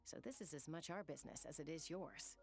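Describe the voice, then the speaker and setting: A woman's voice: low-pitched, expressive, fairly quick, over loud music. A woman voicing a confident, welcoming young tribeswoman in a video game, easygoing and reassuring. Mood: welcoming, assured. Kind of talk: video game character acting.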